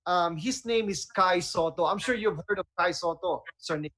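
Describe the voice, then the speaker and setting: High-pitched Filipino man hosting radio. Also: moderate pace, bright, forceful tone. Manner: enthusiastic, conversational. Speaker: radio show host. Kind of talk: casual bilingual talk-show hosting.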